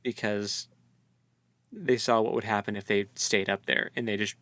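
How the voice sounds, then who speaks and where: A man's voice: on the low side, fairly even intonation, normal speed, fairly bright tone, dry recording. A man co-hosting a casual book discussion, speculating in loose, colloquial language. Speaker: co-host of a book read-along podcast.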